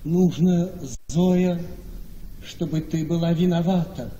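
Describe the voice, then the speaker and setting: An actor playing a manipulative, self-pitying gentleman husband in a Russian radio play, coaxing and melodramatic. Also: on the high side, level tone, slow. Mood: smooth coaxing. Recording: reverberant studio radio play with noise.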